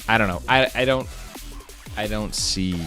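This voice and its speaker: A man who makes an animated series, chatting casually on a live stream at his desk, rambling with many fillers and restarts. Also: on the low side, a little slow, faint music behind. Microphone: webcam setup at a desk.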